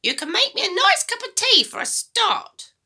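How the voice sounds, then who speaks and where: A woman's voice: very high-pitched, big pitch swings, normal speed, pushed and bright, voice pushed up, heavily acted. A woman voicing a grumpy, selfish old grandmother in a children's storybook, scolding and snapping. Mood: demanding.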